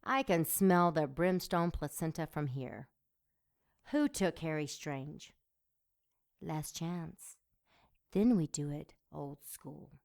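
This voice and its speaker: A woman playing a sardonic, seductive succubus demon in an audio drama, sultry and mocking in a deliberate way. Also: low-pitched, lively intonation, vocal fry, a little mellow, exaggerated voice. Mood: cool menace.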